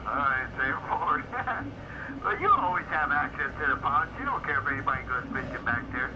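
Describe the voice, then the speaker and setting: A man's voice: mid-range voice, lively intonation, moderate pace. A man, a radio operator telling stories and chatting casually over CB. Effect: CB radio transmission. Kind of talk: casual CB radio storytelling and chat. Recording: muffled, very noisy CB radio reception.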